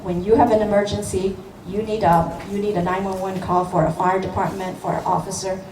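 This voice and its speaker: A woman's voice: mid-range voice, flat delivery, a little fast, distant mic, a crowd in the background. Middle-aged woman speaking in an echoey room. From born in Hong Kong, grew up in Los Angeles. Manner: earnest, with many fillers and restarts. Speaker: city council member. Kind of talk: campaign speech. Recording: echoey room, voice from afar, noisy.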